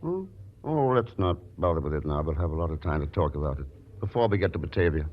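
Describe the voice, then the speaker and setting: An actor playing a cool, suave American investigator in the style of 1940s radio drama. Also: male voice, rumbling low, expressive, fast talker. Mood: smooth, casual. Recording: dull old radio recording, music behind.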